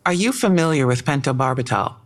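A woman's voice: extremely low pitch, animated, fairly quick. An actress playing a cool female police detective, dry and accusatory as she questions a suspect. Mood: probing, accusatory. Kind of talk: audio drama interrogation.

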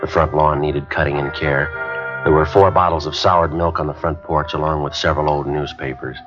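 An actor playing a terse, matter-of-fact police detective who also narrates, in a 1950s radio drama, with a clipped, deadpan delivery. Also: male voice, very deep voice, normal speed. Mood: flat narration. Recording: dull, lo-fi 1950s radio broadcast with music behind.

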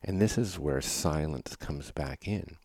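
A man's voice: very deep voice, a little slow, a little mellow, no echo, clean studio sound. A man hosting a podcast, talking thoughtfully in a solo reflection and asking rhetorical questions. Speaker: podcast host.

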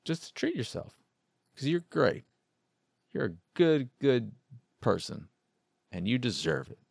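A man's voice: medium pitch, very animated, slow, creaky, breathy edge, no echo, clean studio sound. A man hosting a gaming podcast alone, talking in an informal, playful, self-deprecating ramble and sounding tired. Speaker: solo video game podcast host. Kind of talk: casual podcast sign-off, rambling.

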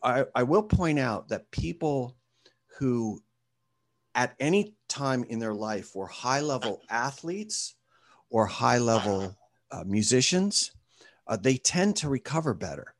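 A man as an interview guest and author, answering with lively storytelling and speaking to a group. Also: mid-range voice, slow pace.

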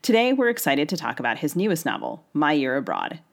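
A woman's voice: sits low, sing-song, brisk, creaky. A woman co-hosting a podcast, moving between a scripted introduction and light banter. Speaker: podcast co-host.

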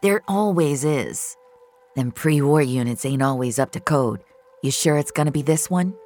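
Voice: medium pitch, lively intonation, normal speed, a little mellow, soft background music, natural voice. A narrator voicing a nervy lookout crook with a nasal voice and folksy slang in an audio drama. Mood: wary. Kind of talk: acted dialogue in audio drama.

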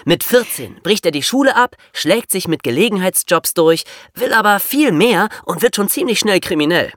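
Very animated young male podcaster. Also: high-pitched, normal speed, no echo, studio quality. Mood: dramatic. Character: eager, playful young male crime podcaster. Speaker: podcast co-host. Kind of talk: scripted storytelling true-crime podcast for children.

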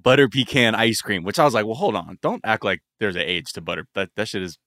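A man in his thirties in a casual podcast chat, animated and profane, often breaking into laughs. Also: on the low side, fast, dry recording, clean studio sound. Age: 30s.

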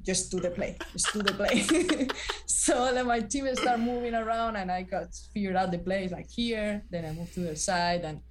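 A woman in her thirties, a former college athlete, telling stories as a guest in a casual interview, halting with many fillers and restarts. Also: medium pitch, a little hiss or hum.

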